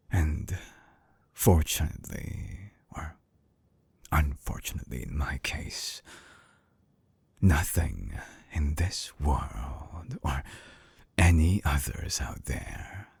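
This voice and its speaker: A man playing an obsessive, calculating mad-scientist villain in an intimate audio drama, coaxing in a husky half-whisper with slow, silky menace. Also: no echo, heavily acted. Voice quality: very deep, gravelly, husky, breathy half-whisper. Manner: slow, deliberate, silky menace with lingering pauses. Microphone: close binaural microphone, very dry.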